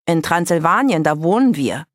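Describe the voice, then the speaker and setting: A woman's voice: low-pitched, expressive, moderate pace, no room sound. Woman as tomboy vampire girl, blunt, gleeful, storybook character, dry sound. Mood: matter-of-fact. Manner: blunt, enthusiastic.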